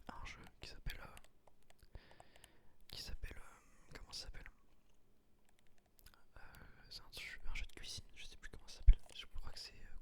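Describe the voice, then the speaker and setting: A man whispering a gameplay commentary in ASMR style, explaining things in an unhurried, hesitant way. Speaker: gaming video creator.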